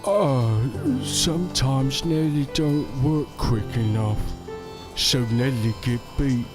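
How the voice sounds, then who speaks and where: A lumbering, kind-hearted simpleton, talks at a crawl with music underneath. He stammers timidly in broken, childlike phrases, like a gentle giant in a radio play. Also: mid-range voice, animated. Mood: earnest, protective. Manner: simple broken third-person speech, halting, stammering. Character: big, gentle, slow-witted man, childlike and timid. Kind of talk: audio drama acting.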